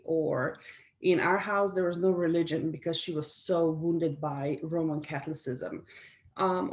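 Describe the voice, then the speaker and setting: A middle-aged woman from Slovenia with a Slovenian accent, answering interview questions in a reflective, conversational way with small restarts and soft laughs. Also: lowish voice. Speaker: guest speaker.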